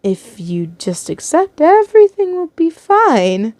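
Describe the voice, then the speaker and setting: A woman's voice: sits high, sing-song, slow pace, a little mellow, no room sound, voice pushed up, exaggerated voice. Woman as timid, begging male captor. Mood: pleading.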